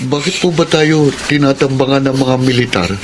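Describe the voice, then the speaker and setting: A man's voice: mid-range voice, steady tone, normal speed, noisy background, lightly acted. A male actor playing an anxious, earnest young son in a melodramatic radio drama. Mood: worried, questioning. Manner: earnest, worried. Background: music.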